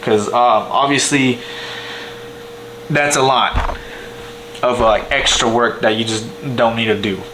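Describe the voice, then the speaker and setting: A man's voice: medium pitch, fairly even intonation, measured pace, pauses often, noisy background. A young man giving a casual, rambling music production tutorial from a home computer, with lots of fillers and restarts. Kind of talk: casual screen-share tutorial. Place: small home kitchen, indoors.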